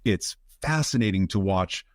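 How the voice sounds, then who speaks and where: Low-voiced man hosting a reflective interview. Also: animated, normal speed, dry recording, clean studio sound. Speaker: podcast host, interviewer. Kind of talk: conversational interview.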